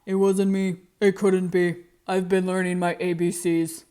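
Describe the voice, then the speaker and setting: A woman voicing a storybook animal who pleads innocence in a defensive, deliberate way. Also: fairly low pitch, little pitch movement, dark tone, clean tone, voice pushed down, natural voice.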